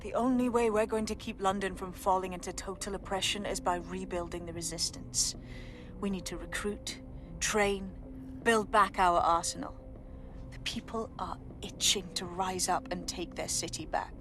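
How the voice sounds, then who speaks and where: An actress playing a resolute female resistance leader rallying a team in a video game, brisk and commanding. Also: medium pitch, steady tone, over music, lightly acted. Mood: rousing, resolute. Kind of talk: video game cutscene acting.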